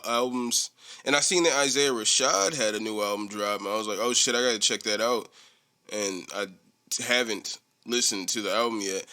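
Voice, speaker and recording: A young man hosts a solo podcast, rambling through stories with plenty of swearing. His voice is bright and forceful, and his delivery is animated and casual. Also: mid-range voice. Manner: rambling, profane, animated storytelling. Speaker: podcast host.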